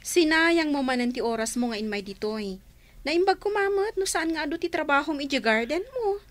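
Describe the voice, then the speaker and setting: Animated, high-voiced young woman. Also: brisk. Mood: friendly. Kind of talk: Ilocano radio drama acting.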